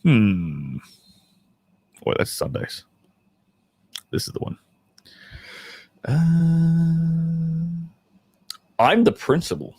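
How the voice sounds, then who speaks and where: A man's voice: on the high side, sing-song, extremely slow, pauses often, a little mellow, breathy edge, no room sound. A man chatting casually and informally to livestream viewers, thinking aloud as he reads from a script, with banter and some mild swearing. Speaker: adult film actor reading a script to viewers.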